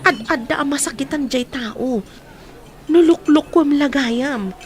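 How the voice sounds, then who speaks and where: A young Filipino woman, the frank and caring confidante in a radio drama. Her voice is high, recorded with a little background noise. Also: unhurried. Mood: concerned, frank. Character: frank, concerned young woman advising her best friend.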